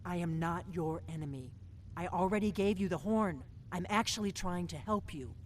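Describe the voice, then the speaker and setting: A woman's voice: lowish voice, normal speed, a little hiss or hum, close to an everyday voice. An actress voicing a guarded, driven veteran female agent in a video game, speaking tersely and with command. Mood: defensive, insistent. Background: game music.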